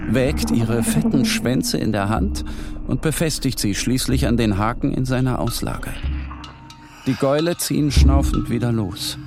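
An actor playing a tormented, morbid man who narrates a bitter literary monologue in a brooding, deliberate way. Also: medium pitch, natural voice. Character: tormented, morbid male narrator confessing bitterly to his shadow. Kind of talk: literary audio drama monologue. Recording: music behind the voice.

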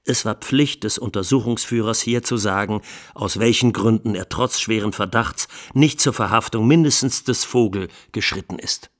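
An actor playing an indignant, incisive lawyer in a radio drama, making a formal, emphatic plea to the judges. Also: male voice, on the low side, moderate pace, lightly acted. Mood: grave, insistent. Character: male trial lawyer, indignant and incisive, arguing before judges. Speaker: lawyer in court.

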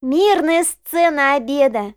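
A woman's voice: high-pitched, lively intonation, takes it slowly. A woman playing a curious, eager little granddaughter in a children's audio play, talking in a childlike, inquisitive way. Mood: tender.

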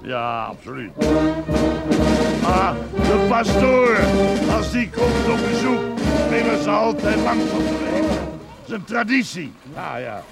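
A man's voice: high voice, huge pitch swings, slow pace, vocal fry, exaggerated voice. An actor playing a sociable middle-aged village father in a television drama, rambling and folksy. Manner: rambling, folksy, with murmured repetitions. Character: chatty, sociable village father, proud of his sons. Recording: loud band music behind. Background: brass band music.

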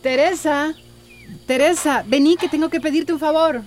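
A woman playing a patient young wife and gentle peacemaker with a Costa Rican accent, soft-spoken and hesitant, in a radio drama. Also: high-pitched, fairly even intonation, moderate pace, a crowd in the background, close to an everyday voice.

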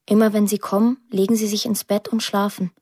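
An actress playing a young woman who narrates a radio drama in a plain, reflective, measured way. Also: medium pitch, level tone, warm tone, breathy edge, dry recording, studio quality. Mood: reflective, confiding. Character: pensive young woman narrating her estranged family.

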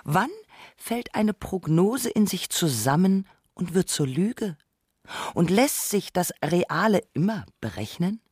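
Dry, close, mid-pitched radio narrator. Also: lively intonation, slow, a little mellow, husky, no echo. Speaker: second narrator. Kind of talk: scripted documentary narration.

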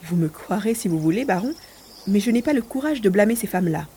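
A woman's voice: medium pitch, expressive, fast, mellow, husky. A woman playing a bored, romantic provincial spinster in a French audio drama, with a mannered, theatrical delivery. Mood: wistful.

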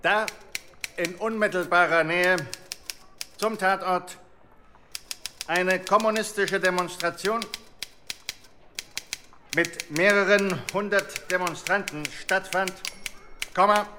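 Pompous high-voiced police inspector. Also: male voice, steady tone, takes it slowly, pauses often, noisy background. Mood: officious, clipped. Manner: officious, condescending, dictating clipped phrases. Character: pompous male police chief inspector dictating a report. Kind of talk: German radio drama acting.

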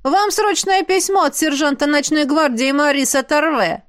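A woman voicing a brisk, insistent dwarf woman delivering a letter. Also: high-pitched, no room sound, studio quality, pitched above the performer's own voice, lightly acted.

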